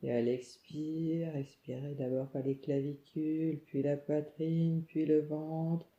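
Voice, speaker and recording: Slow, very mellow woman guiding meditation. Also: low voice. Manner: calm, soothing, instructing in short phrases with pauses. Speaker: yoga nidra meditation guide. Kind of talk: guided relaxation meditation. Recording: muffled, echoey room sound.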